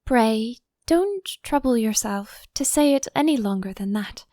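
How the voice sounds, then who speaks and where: Woman playing Alice, a high-voiced polite little girl. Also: lively intonation, a little slow, mellow, no echo, clean studio sound. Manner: polite, careful, earnest. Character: polite, thoughtful, curious young girl Alice.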